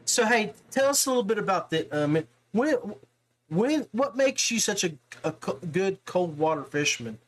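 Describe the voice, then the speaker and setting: Folksy man with a higher-pitched voice. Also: sing-song, moderate pace, slight background noise. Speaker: podcast host. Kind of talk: casual interview chat about fishing.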